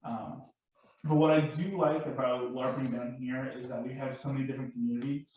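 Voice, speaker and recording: A man in a casual panel discussion, speaking haltingly and often repeating his words. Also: mid-range voice, steady tone. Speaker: panel guest and larper. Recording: very echoey, distant, dull, noisy microphone.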